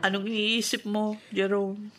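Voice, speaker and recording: A woman's voice: medium pitch, level tone, unhurried, soft background music, close to an everyday voice. A woman with a Filipino accent playing a protective, wounded young elder sister in a radio drama. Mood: wounded, determined.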